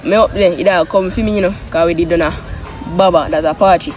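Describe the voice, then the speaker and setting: A young man with a Jamaican accent telling stories casually to friends in an animated, repetitive, slangy way. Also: sits high, fast. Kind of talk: casual storytelling among friends. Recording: noisy outdoor recording with traffic.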